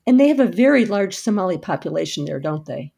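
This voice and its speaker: A woman hosting a program, reading scripted narration and asking interview questions in a steady, deliberate way. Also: on the low side, gravelly, breathy edge. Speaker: radio host and interviewer.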